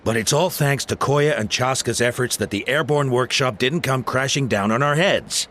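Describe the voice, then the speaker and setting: An actor playing a calm, reassuring male mentor and leader in a video game. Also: mid-range voice, normal speed, slight background noise, natural voice. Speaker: game character. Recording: game audio with soft music.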